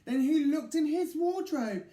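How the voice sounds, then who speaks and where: Slow, very animated male storyteller. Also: extremely high pitch. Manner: theatrical, dramatic pauses and emphasis. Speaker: children's story reader. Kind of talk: expressive picture-book narration. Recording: echoey room.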